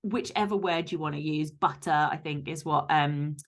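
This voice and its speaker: A woman's voice: sits low, moderate pace. A woman speaking as an author guest in a casual interview, candid and emphatic, with some casual swearing.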